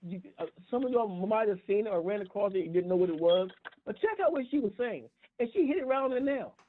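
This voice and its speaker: A man's voice: sits high, expressive. A man on a tinny phone line in an impassioned group discussion, emphatic, repeating and restarting his words. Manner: repetitive, halting restarts, emphatic. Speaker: call-in talk show panelist.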